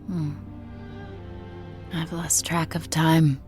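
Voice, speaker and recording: A woman's voice: sits low, level tone, very slow, music in the background. A woman acting a desperate, pleading hospital patient in a radio drama. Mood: subdued, anxious.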